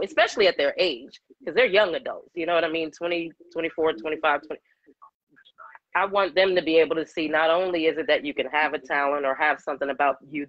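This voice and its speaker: A woman in her forties, a singer-songwriter guest with a deep voice, chatting animatedly and laughing often in an interview over a video call. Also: dry recording, lo-fi sound. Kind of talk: casual interview chat. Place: home room, video call. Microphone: webcam on video call.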